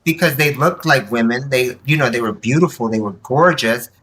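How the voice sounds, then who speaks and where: A woman speaks candidly as an interview guest, in a very bright, forceful voice. She is animated and emphatic as she tells personal stories, slipping into quoted remarks. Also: rumbling low, moderate pace. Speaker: podcast guest, nonprofit board member. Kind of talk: candid personal storytelling in conversation.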